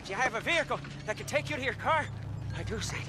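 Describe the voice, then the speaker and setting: A man's voice: very high voice, lively intonation, fast talker, very noisy. A man voicing a manic, devout mechanic sidekick in a video game, fawning and repeating himself with feverish preacher-like zeal. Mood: eager, fawning. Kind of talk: video game character acting.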